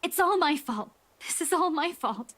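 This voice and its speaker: A woman plays a young woman from a visual novel game in an extremely high voice. She is anxious and earnest. Her delivery is urgent and insistent, always pleading. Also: lively intonation, moderate pace, a bit bright. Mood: guilty, apologetic. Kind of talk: visual novel character reading.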